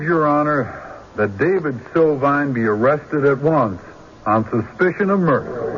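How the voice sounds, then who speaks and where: An actor playing a shrewd country defense lawyer in a radio drama, deliberate and rhetorical, pressing pointed questions. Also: male voice, on the high side. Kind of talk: old-time radio drama acting. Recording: dull, noisy radio drama recording.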